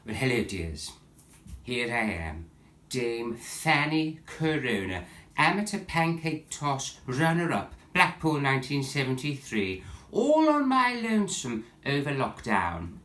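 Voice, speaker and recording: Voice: mid-range voice, sing-song, takes it slowly, fairly bright tone. Saucy, swooping old pantomime dame. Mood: cheeky, theatrical. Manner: theatrical, cheeky joke-telling. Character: saucy, much-widowed older pantomime dame. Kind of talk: pantomime comedy monologue. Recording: echoey room.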